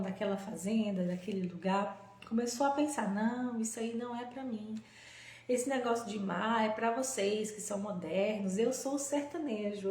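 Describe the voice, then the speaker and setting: A woman, a holistic therapist, hosting a live stream in a casual, gentle way and telling a story, often checking in with her listeners. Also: a little high. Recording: echoey room.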